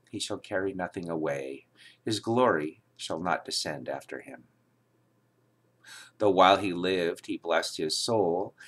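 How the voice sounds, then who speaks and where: A man reading the Bible aloud in a solemn, dramatic style, with emphatic, declamatory delivery and measured phrasing. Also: low voice, a bit bright. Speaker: scripture reader.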